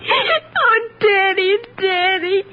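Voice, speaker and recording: A woman's voice: extremely high pitch, sing-song, very slow, full character voice. An actress playing a sly, pleading young schoolgirl daughter in a 1940s radio drama, insisting on her innocence. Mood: tearful, pleading. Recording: scratchy, hissy, narrow 1940s radio recording.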